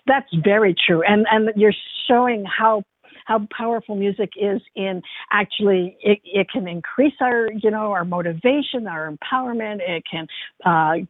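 A woman's voice: medium pitch, dry recording. A woman guest answering interview questions earnestly, explaining her ideas with frequent restarts. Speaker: author and radio guest. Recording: tinny phone line, dry.

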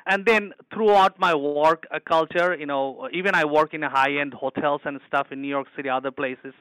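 A man's voice: on the high side, fairly even intonation, moderate pace, a bit bright, clean tone, dry recording, low-quality recording. A man from Bangladesh with a Bangladeshi accent, a hospital chef telling eager, rambling stories in an interview. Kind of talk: interview answers.

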